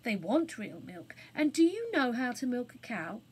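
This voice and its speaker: A woman's voice: a little high, big pitch swings, normal speed, breathy edge, exaggerated voice. A woman voicing a kind, bold little girl in a children's storybook, speaking in an earnest, polite, coaxing way. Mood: kindly, coaxing.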